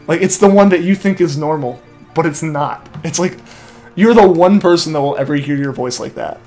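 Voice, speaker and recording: A man's voice: on the high side, normal speed, a little mellow, soft background music. A young man streaming a game, chatting casually and reading out viewer comments, his speech full of "like" and trailing restarts. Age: young adult. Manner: rambling, filler-heavy, keeps saying like. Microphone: headset mic feeding back to headphones.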